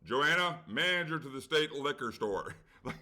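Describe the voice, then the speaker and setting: This is a middle-aged man chatting on a podcast, winding through a long anecdote. His voice has a bright, tense edge, and he jumps into the voices of the people he quotes. He swears freely and keeps checking in with "right?". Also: on the high side, expressive, normal speed. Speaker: podcast co-host. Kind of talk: casual chat, long anecdote.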